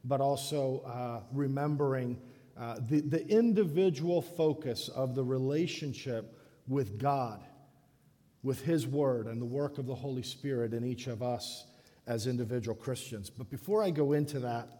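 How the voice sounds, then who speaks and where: A man from the Dominican Republic preaching a sermon in a church, halting often and restarting his phrases. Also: medium pitch, mellow. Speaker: guest preacher. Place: church sanctuary. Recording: echoey church hall.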